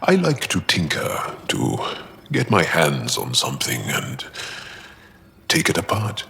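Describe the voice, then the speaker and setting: A man playing an earnest, guarded alien warrior and tinkerer in a video game, speaking haltingly and thoughtfully. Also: low voice, wildly swooping pitch, creaky, bright, forceful tone, full character voice. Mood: thoughtful, candid. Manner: halting, thoughtful, measured delivery. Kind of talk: video game dialogue acting. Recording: reverberant room with music behind.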